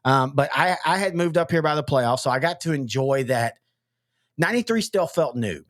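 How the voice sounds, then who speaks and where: A man's voice: a little high, expressive, moderate pace, no echo, studio quality. A man from East Texas, hosting a casual sports podcast, rambling with stammered restarts. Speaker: podcast host.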